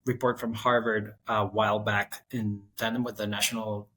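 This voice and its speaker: A man in his thirties from Costa Rica, an architect guest telling his career story in a relaxed, winding way. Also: on the low side, moderate pace. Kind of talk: conversational interview.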